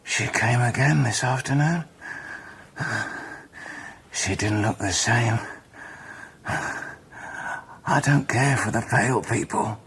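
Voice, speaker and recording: A man playing a dying, injured madman in a radio drama, weak, dreamy and halting, with faint noise behind him. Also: mid-range voice, fairly bright tone, breathy edge, exaggerated voice, poor audio.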